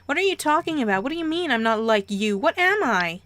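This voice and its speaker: A woman's voice: medium pitch, a little slow, a bit bright, close to an everyday voice. A woman voicing a bewildered, hurt adopted teenager who questions the parents pleadingly in a story. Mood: distressed.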